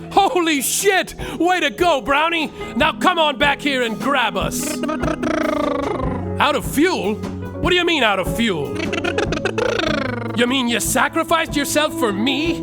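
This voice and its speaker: An actor playing a cocky, swaggering space detective who narrates his own story deadpan and pleads frantically, on a live theater stage. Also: very high-pitched. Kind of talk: comic musical podcast narration. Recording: guitar music behind a live stage.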